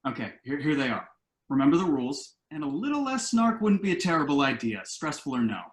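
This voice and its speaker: An actor playing an earnest, anxious adult son in a conversational comedy play reading, explaining things in an echoey room. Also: male voice, fairly high pitch, sing-song, brisk. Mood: anxious, pleading. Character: earnest adult son, anxious and quirky about house rules.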